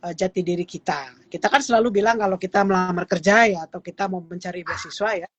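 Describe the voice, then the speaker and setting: A woman's voice: fairly low pitch, fast, slightly rough, highs cut off. A woman in her thirties, a guest expert, explaining heritage in an interview with many "eh" and "ya" fillers.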